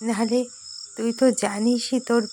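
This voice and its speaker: A woman's voice: a little high, little pitch movement, normal speed, mellow, close to an everyday voice. A woman playing a worried, caring middle-aged mother who cautions her grown son in a horror cartoon. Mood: caring, concerned. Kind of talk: Bengali horror cartoon dialogue. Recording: faint noise with chirping crickets.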